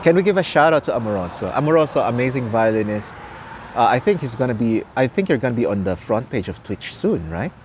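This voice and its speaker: A man's voice: medium pitch, sing-song, fast. A young man who speaks Tagalog as his first language, chatting casually with viewers on a live stream outdoors at night. He laughs often and repeats words while he talks. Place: outdoors at a gas station at night. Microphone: phone camera at arm's length. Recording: faint outdoor noise. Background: traffic and city ambience.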